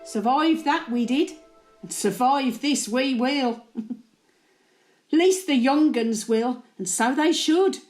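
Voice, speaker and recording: A woman performs an elderly working-class widow with a Birmingham accent in a dramatic monologue. Her voice is high and her delivery slow, rambling and confiding. She is opinionated, tender and given to grumbling, with faint music in the recording. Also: a little mellow. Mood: reflective, resolute. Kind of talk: dramatic monologue in character, addressed to an absent husband.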